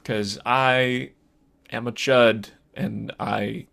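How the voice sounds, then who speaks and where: A man's voice: medium pitch, steady tone, drawn-out words, vocal fry, a bit bright. A man co-hosting a casual film discussion podcast, talking in a hesitant, meandering way. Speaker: podcast co-host.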